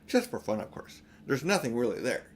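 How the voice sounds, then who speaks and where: A man's voice: mid-range voice, sing-song, fairly quick, a little hiss or hum, lightly acted. A man reading a storybook, voicing a spiteful young boy with a superior, jeering manner. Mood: smug, sneering. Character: spiteful, smug young boy, a sneering brother.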